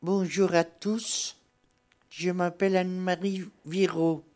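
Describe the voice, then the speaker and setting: An older woman from rural Burgundy, a radio columnist telling folksy village anecdotes in dialect in a halting, plain-spoken way, in a radio studio. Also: on the low side, flat delivery, a little mellow. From rural Burgundy, France.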